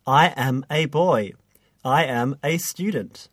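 A man's voice: mid-range voice, takes it slowly, a bit bright, dry recording, clean studio sound. A man reading scripted English listening exercises with careful enunciation, as on a language-lesson recording. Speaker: English listening test reader.

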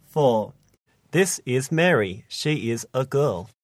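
A man's voice: mid-range voice, animated, slow, no room sound, studio quality. A man reading scripted English listening exercises with careful enunciation, as on a language-lesson recording. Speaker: English listening test reader.

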